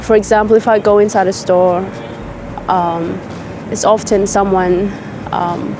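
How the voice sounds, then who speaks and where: A woman's voice: medium pitch, little pitch movement, unhurried, background noise. A young woman, speaking thoughtfully in a documentary interview outdoors in a park. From South Sudan. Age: young adult.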